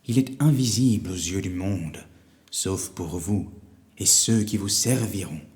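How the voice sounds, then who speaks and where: A man voicing a wise, solemn old wizard in a storybook, speaking slowly, gravely and deliberately. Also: deep voice, a little mellow, in a large hall. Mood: tender, grave. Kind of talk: storybook character voice.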